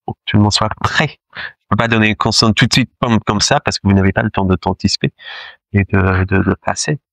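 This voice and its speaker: A man's voice: on the low side, fairly even intonation, a little fast, vocal fry, a bit bright, no echo. A man who speaks French as his second language, a choir director talking in a casual interview, hesitant with many fillers and restarts. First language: not French; French is his second language.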